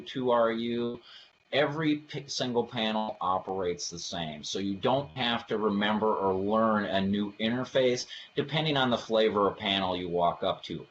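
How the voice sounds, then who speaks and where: A man giving an explanatory product presentation for a webinar, talking through technical slides in informal phrasing. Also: mid-range voice. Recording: dull lo-fi audio, faint background noise.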